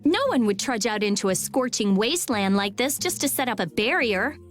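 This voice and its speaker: An actress playing a blunt, sharp-tongued but caring young girl in a video game. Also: a little high, animated, brisk, soft background music, close to an everyday voice. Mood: scornful. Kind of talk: video game cutscene acting.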